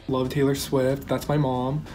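Young guy, deadpan jokes, warm mellow tone, faint music behind. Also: mid-range voice, measured pace. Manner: joking, self-mocking. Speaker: contestant. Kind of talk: casual self-introduction. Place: bedroom at home.

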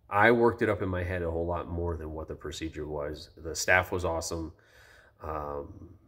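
A man in his thirties giving a casual, rambling video review, congested and a little tired after nose surgery, recorded on a close-held phone indoors. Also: low-pitched. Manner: relaxed and rambling, many ums and restarts. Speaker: vlogger. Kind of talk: casual first-person review. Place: indoor room with high ceiling. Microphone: phone camera held close.

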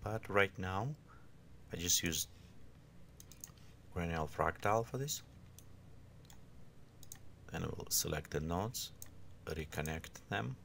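Male tutorial instructor, non-native English, creaky voice, unscripted and halting, recorded with background noise and keyboard clicks. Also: low voice, fairly bright tone. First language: not English. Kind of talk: informal step-by-step screen tutorial.

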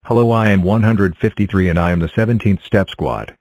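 A man plays a matter-of-fact male number block from a children's counting cartoon. His voice is fairly low and stiffly recited, recorded dry with no echo. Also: brisk, a little mellow, lightly acted.

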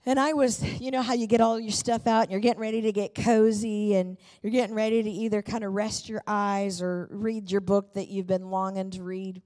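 A woman's voice: mid-range voice, a little mellow. A middle-aged woman pastor preaching a sermon with personal stories, speaking emphatically into a handheld microphone on a church stage. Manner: emphatic and fervent, with repeated phrases. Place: large church auditorium, on stage.

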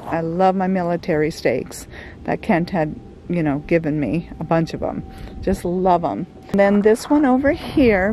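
A middle-aged woman talks at an unhurried pace, chatty and explanatory, walking through her setup with plenty of "okay" and "um." She is recorded outdoors at a desert campsite, with steady wind and vehicle noise clearly audible behind her voice. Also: on the low side, low-quality recording. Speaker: van life vlogger. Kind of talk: casual how-to vlog talk. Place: outdoors at a desert campsite under a sun shade.

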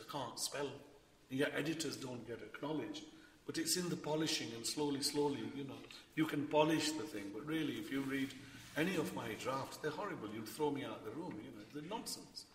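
An older man, a novelist on a festival panel, telling an anecdote with lively gestures and laughing as he tells it. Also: medium pitch, brisk. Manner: animated, gestures a lot, laughs while telling. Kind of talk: anecdotal storytelling. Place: large hall, seated on stage. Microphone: far room mic. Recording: echoey hall from far off, faint noise.

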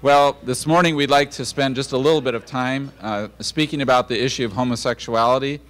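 A man's voice: mid-range voice, steady tone, brisk, fairly bright tone. A middle-aged man presenting at a lectern, introducing a speaker. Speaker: event presenter. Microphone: lectern microphone.

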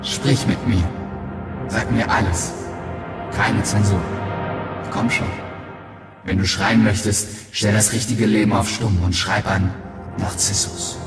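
Persuasive radio drama voice: man, fairly low, insistent, slightly distant, loud music all around. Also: steady tone, slow, long pauses, reverberant. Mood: insistent, enticing. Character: insistent, persuasive online voice urging confession. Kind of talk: radio play dialogue.

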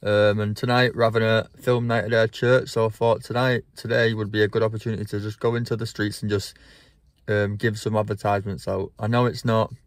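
A man's voice: fairly low pitch, flat delivery, a little fast, no room sound, studio quality. A man, earnestly talking to a phone camera from inside a parked car, with frequent ums. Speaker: church vlogger. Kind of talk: reflective vlog to camera. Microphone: phone camera at arm's length.

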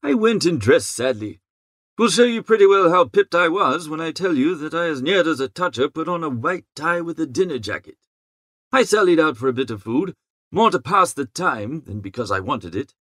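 A man playing a good-natured, easily flustered young upper-class idler who narrates an audiobook in a chatty, slangy, expressive way. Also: a little high, moderate pace, no room sound. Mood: rueful narrating. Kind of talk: first-person audiobook narration.

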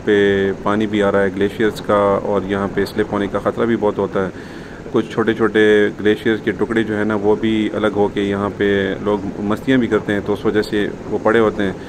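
A man's voice: on the low side, completely flat delivery, normal speed. A man with a Pakistani accent giving casual commentary as a travel vlogger outdoors. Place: outdoors on a mountain pass, partly riding a motorbike. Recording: noisy outdoor recording with wind and vehicle noise.

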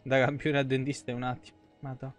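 A man's voice: mid-range voice, flat delivery, fast talker, soft background music. A man in his thirties giving casual, slangy, sweary and sarcastic live commentary on a game. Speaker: game streamer. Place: small room at home, indoors.

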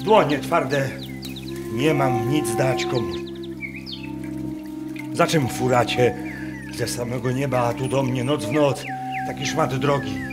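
A simple Masurian peasant woodcutter , played by a male actor, caught up in his visions in a radio drama. He speaks slowly in halting rustic dialect, breaking into exclamations, with music behind him. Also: medium pitch, lightly acted. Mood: humble, puzzled. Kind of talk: radio play acting. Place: wooden barn, staged with musicians.

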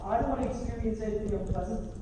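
High-pitched young man acting, echoey distant stage. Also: very fast, close to an everyday voice. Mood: pleading, bitter. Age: young adult. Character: troubled, resentful young man narrating his youth. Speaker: stage actor. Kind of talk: amateur stage drama acting. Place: large theater stage, indoors. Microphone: far audience camera. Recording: noisy, echoey theater recording from far off.

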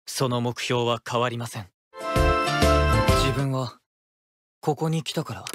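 A man voicing an earnest, quietly ambitious teenage boy ace pitcher in an anime-style game. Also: unhurried, loud music behind the voice, close to an everyday voice. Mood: quiet resolve.

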